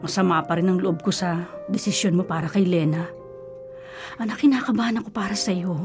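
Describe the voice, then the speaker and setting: A woman's voice: lowish voice, brisk, over music, close to an everyday voice. A woman acting in a radio drama as a worried, nagging but loving middle-aged mother, scolding and fussy, with a Filipino accent.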